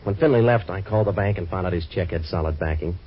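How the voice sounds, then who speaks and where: A deep-voiced, sardonic waterfront detective. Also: male voice, fast talker, husky. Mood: wry. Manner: dry, sardonic wisecracks. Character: wisecracking waterfront boat-renter turned private eye. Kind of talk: hard-boiled first-person radio narration. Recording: dull old radio recording with faint hiss.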